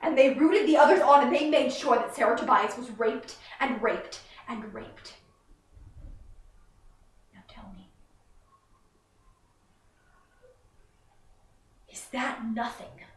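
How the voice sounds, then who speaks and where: A young woman playing an impassioned, indignant prosecutor who addresses a jury deliberately and rhetorically in a dramatic monologue. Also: high voice. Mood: grave outrage. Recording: roomy, echoing, far from the mic.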